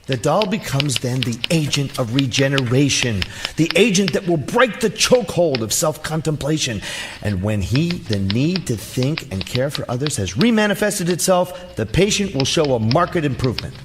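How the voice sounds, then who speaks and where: A man's voice: mid-range voice, very animated, moderate pace, close to an everyday voice. An actor playing a pompous, coldly certain medical man, lecturing clinically and emphatically in a live radio drama. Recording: live theater recording with clear background noise.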